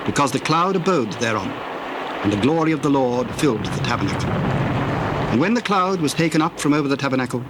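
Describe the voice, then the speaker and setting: A man narrates scripture with solemn, deliberate phrasing. His voice sits at a middle pitch, with faint noisy ambience and birds behind it. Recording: faint noise under the voice.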